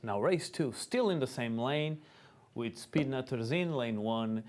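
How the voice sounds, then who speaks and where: A man's voice: medium pitch, lively intonation, a little slow, darkish tone. A man with a Portuguese accent, a Portuguese native speaker, explaining the rules and announcing the lanes at a school car racing event, with some hesitation. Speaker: rules official and race announcer. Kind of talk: live event commentary.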